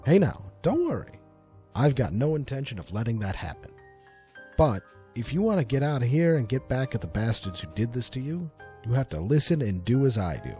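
A man performs a frightened yet resolute detective from an audio drama. His delivery is urgent and earnest, with big swings in pitch and a dark, soft-edged tone over faint music. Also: sing-song, brisk, lightly acted. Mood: urgent, reassuring. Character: frightened but determined male detective, reassuring and resolute.

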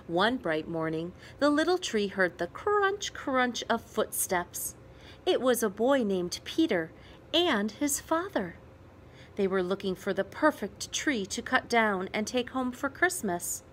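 A woman reads a children's story aloud, slowly and with wide melodic swings. Her voice sits a little high, and she leaves dramatic pauses for emphasis. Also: big pitch swings, a little hiss or hum. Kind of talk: children's picture book read-aloud.

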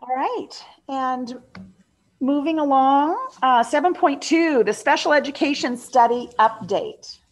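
Woman board chair, somewhat high voice, brisk. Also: animated, poor audio. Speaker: meeting chair. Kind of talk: chairing a meeting.

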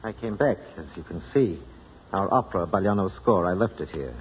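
A man playing a stern, brooding gentleman in a 1940s radio drama. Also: sits low, a little fast, lightly acted. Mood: cold. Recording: reverberant, muffled, noisy 1940s radio.

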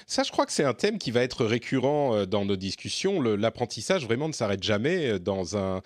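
Male podcast host, casual and chatty, mid pitch, dry studio sound. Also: big pitch swings, fairly quick, no echo. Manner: chatty, many fillers and restarts. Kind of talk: casual tech podcast talk.

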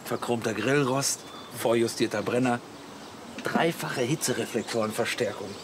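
An actor playing a grumpy, jealous, middle-aged camper husband in a blustering, colloquial way in a comic radio play. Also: male voice, medium pitch, normal speed, natural voice. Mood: proud. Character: grumpy, jealous camper husband, proud of his gas grill. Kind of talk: German radio play acting. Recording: noisy outdoor ambience.

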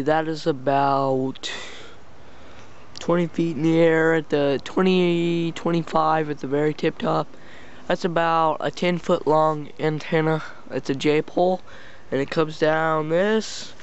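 A man giving a casual, halting, step-by-step hands-on walkthrough as a vlogger, recorded on a handheld camera. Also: fairly high pitch, fairly even intonation, clean tone. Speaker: vlogger showing a homemade CB radio setup. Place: backyard and indoors beside the equipment. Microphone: handheld camera near the speaker. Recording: dull lo-fi camera audio, loud noise.

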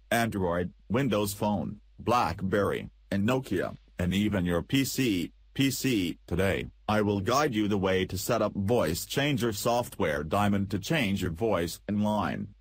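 A man narrating a scripted software tutorial in an instructional, step-by-step manner. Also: fairly low pitch, husky, no room sound.

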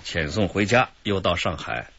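A stern middle-aged officer in a radio drama, very low and husky. He questions with slow, dry mockery, letting his pitch rise and fall. The sound is dry and lo-fi. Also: sing-song, bright, forceful tone, no echo, full character voice. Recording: dull lo-fi radio drama audio.

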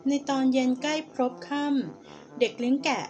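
A woman reading a fable aloud as a storyteller, in a calm, measured manner. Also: high-pitched, lo-fi sound, faint music behind.

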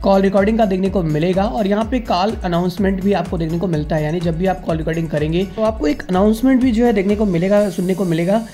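A man's voice: sits high, fast talker, hardly any pauses, dark tone, soft background music. A man hosting a casual phone tutorial, explaining things repetitively and addressing viewers informally as friends. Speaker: tech tutorial host.

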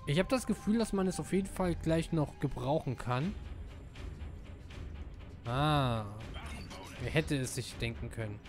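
A man giving casual live gameplay commentary, excitable and slangy, dropping in English gamer words. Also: a little high, measured pace, pauses often, over music. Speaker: let's player.

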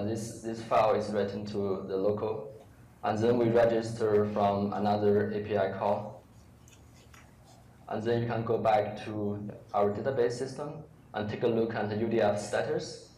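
A man presenting a live software demo at a meetup, speaking haltingly with many fillers. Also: fairly low pitch. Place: large lecture room with audience. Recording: echoey hall, faint room noise.

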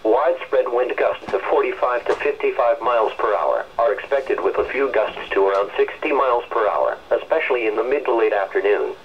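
A man's voice: medium pitch, a little fast, hardly any pauses, a little hiss or hum. An automated male announcer reading scripted weather alerts in a mechanical, impersonal way over a radio. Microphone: radio speaker re-recorded by a camera in a room. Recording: muffled weather radio broadcast played through speaker.

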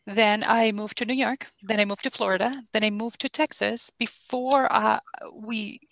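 A woman's voice: fairly high pitch, steady tone, normal speed, creaky, a bit bright, dry recording. A woman from Puerto Rico, an architect on a panel, speaking in a candid, easygoing way over a video call headset. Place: office with window.

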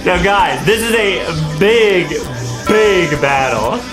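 Young male co-host, American, fairly high voice, casual and playful, over a music bed. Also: expressive, slow, rough recording. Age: young adult. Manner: playful, slangy, agreeing. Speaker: gaming video co-host. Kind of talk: casual reaction banter for children.

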